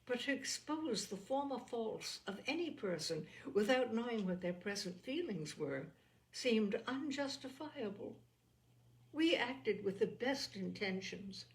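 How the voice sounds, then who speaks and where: A woman voicing a gentle, anxious, forgiving young sister, earnest and measured, in a storybook reading. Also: fairly high pitch, lively intonation. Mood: earnest, regretful.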